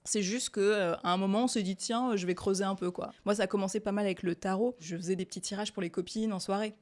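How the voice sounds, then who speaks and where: A woman's voice: mid-range voice, quick pace. Chatty young French woman. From Italian descent, raised in France. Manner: chatty, relaxed, laughs easily, many fillers. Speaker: guest, tarot reader and illustrator. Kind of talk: casual interview conversation.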